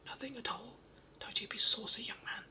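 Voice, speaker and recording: A storybook reader gives us a cross little saucepan man, hard of hearing and quick to take offence. It is all breathy whisper, pitched in the middle. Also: steady tone, sharp tone. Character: deaf, muddled little old saucepan man.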